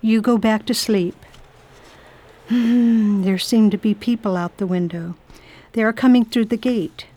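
A woman playing a brisk, determined lady traveler in a radio drama, speaking firmly and commandingly. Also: fairly high pitch, mellow. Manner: firm, commanding.

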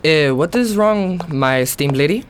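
A woman doing a gruff, fawning hotel keeper. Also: deep voice, lively intonation, moderate pace, slight background noise, pitched below the performer's own voice. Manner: servile to the lady, threatening to the intruder. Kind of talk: amateur radio drama acting.

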